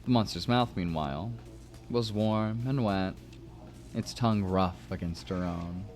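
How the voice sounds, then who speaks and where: Male narrator, low voice, measured and expressive dramatic story reading, faint music underneath. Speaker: narrator and host of a story stream. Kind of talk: dramatic reading of prose fiction.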